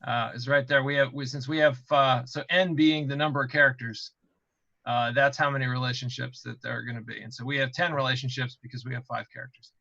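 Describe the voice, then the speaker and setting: A man's voice: mid-range voice, steady tone, brisk, gravelly, bright, forceful tone, no room sound, dull audio. A man in a casual panel discussion as a game developer, explaining things by thinking aloud and repeating himself.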